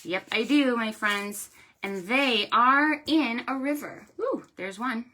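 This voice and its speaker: A woman leads an interactive storytime for small children. Her voice is bright and a little high, and she speaks very slowly with sing-song exaggeration. She asks questions, leaves room for answers and encourages her young listeners. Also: a little hiss or hum. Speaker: children's librarian hosting preschool storytime.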